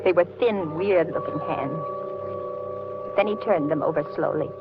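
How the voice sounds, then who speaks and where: A woman playing a frightened, placating older housewife in an old-time radio thriller, with an anxious, halting, dramatic delivery. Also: low voice, over loud music. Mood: tense narrating. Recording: muffled, hissy vintage radio recording.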